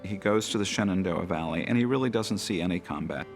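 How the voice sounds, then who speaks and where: A man with a gravelly voice, telling history as a historian in a documentary interview. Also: sits low, fast, soft background music. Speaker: biographer, historian.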